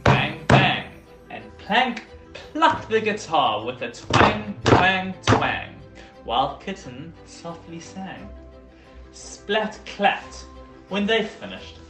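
Someone reading a children's story aloud in an expressive, deliberate way, leaning into the sound words. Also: mid-range voice. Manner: animated, deliberate, emphatic on dialogue and sound words. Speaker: volunteer storybook reader. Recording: roomy echo.

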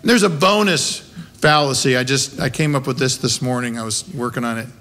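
A man's voice: mid-range voice, a little fast, sharp tone. A middle-aged or older American man preaching a sermon in a conversational but emphatic way, with rhetorical questions. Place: wooden-walled small church, at a pulpit. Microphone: gooseneck pulpit microphone.

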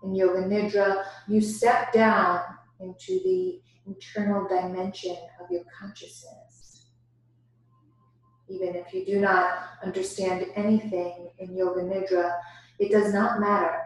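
A woman leading a guided yoga nidra meditation as instructor, giving deliberate instructions broken by pauses. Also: mid-range voice. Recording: very echoey room, distant microphone.

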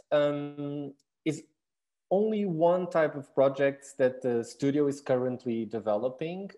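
A man's voice: fairly high pitch, mellow. A man in his thirties from Portugal, speaking English with a Portuguese accent, explaining his design work thoughtfully with many fillers and restarts. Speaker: architect and exhibition designer. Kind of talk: recorded talk answering questions.

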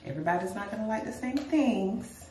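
A woman's voice: mid-range voice, a little fast, a little hiss or hum. Chatty woman vlogger in an echoey room. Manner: relaxed, chatty, addresses viewers as y'all. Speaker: lifestyle vlogger. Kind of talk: casual vlog chat to camera. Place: bedroom at home, later a car. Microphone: camera a few feet away. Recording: roomy echo, distant from the mic.

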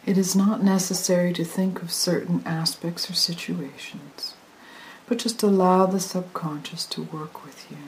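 A woman leading a guided meditation as a hypnotic induction, soothing and often dropping to a breathy near-whisper. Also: on the low side, measured pace, low-quality recording. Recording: hissy recording with steady noise.

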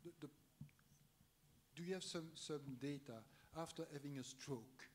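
A man's voice: a little high, a little slow, a little hiss or hum. A man moderating a conference panel, posing questions while stumbling and repeating words. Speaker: session moderator.

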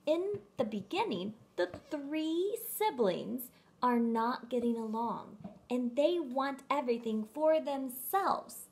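A woman, reading a storybook aloud to children with expressive, emphatic phrasing, recorded at home. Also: sits high, slow. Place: room at home.

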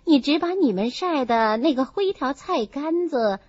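A woman as a kind, unhurried young maid. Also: high-pitched, expressive, darkish tone, no room sound. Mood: friendly, insistent. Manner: orderly, explaining, polite. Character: kind, modest young maid, practical and friendly. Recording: dull, muffled audio.

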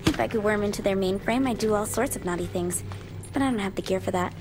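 Actress as nerdy young woman hacker, deadpan, paranoid, video game cutscene, music behind. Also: medium pitch, quick pace, lightly acted. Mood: urgent, dry. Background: background music.

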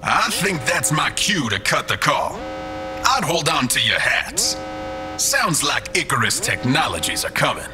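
An actor playing a smug, callous villainous boss, theatrical and condescending, in a science-fiction audio drama. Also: male voice, a little high, very animated, measured pace, over music. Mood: smug, mocking.

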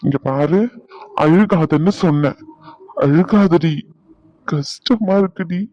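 A man's voice: a little high, takes it slowly, dark tone, no echo, muffled recording. A man playing an anguished, tender husband in a domestic drama, pleading and soothing his wife with endearments. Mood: tender, pained. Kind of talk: emotional domestic audio drama.

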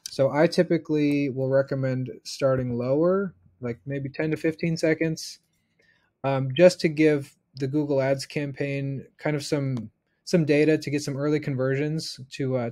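A man in his thirties giving a casual software tutorial, informally thinking aloud as he goes step by step. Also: mid-range voice. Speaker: instructor.

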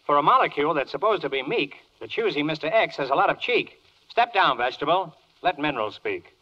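A flustered, mock-pompous judge in an old radio verse comedy. The voice is on the high side and swoops about with exclamations. The recording is dull and band-limited. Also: male voice, animated, brisk, sharp tone. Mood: peeved. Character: flustered, blustering male judge in a comic verse courtroom.

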